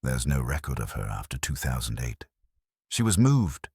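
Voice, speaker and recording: Man reading as terse, very deep-voiced police inspector. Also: very animated, moderate pace, a little mellow, breathy edge, no room sound, clean studio sound, exaggerated voice. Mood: reserved, probing. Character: reserved, terse, considerate male police inspector. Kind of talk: audio drama narration dialogue.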